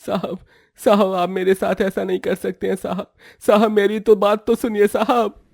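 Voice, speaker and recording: Man playing a high-pitched, humble, earnest cook. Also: little pitch movement, normal speed, gravelly, no room sound, studio quality. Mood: desperate. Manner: pleading, earnest, deferential. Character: humble, pleading male cook, worried ageing father. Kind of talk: acted moral-story dialogue.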